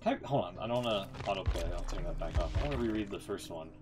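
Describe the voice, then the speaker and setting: A male game streamer with a fairly low voice. He reads aloud and comments casually, musing in an offhand, sweary manner. Music with guitar is clearly audible behind him. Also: lively intonation, fast.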